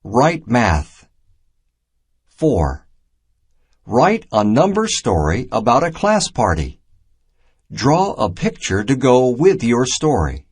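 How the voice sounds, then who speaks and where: Male narrator for a kids' educational storybook: deliberate, unhurried read, animated intonation, clearly separated phrases. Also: medium pitch. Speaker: narrator of a children's math storybook. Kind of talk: scripted read-aloud narration, simple and instructional.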